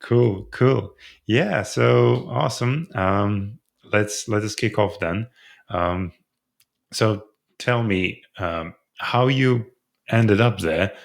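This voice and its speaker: A man's voice: on the low side, slow, creaky. A man hosting a tech podcast, asking questions in a friendly, loose way with fillers. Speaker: podcast host. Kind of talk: casual interview chat.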